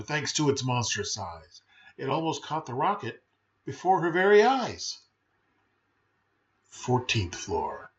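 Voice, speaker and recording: A man with a fairly high voice reads a children's storybook with very lively, swooping intonation. His delivery is playful and emphatic, moving between rhyme and chatty asides. The sound is slightly dull. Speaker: storyteller reading a children's picture book. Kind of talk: expressive rhyming storybook narration with brief chatty asides.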